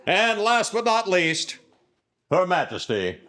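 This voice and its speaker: A man's voice: high-pitched, huge pitch swings, slow pace, noisy background. A man reading a scripted live radio sponsor announcement as the announcer.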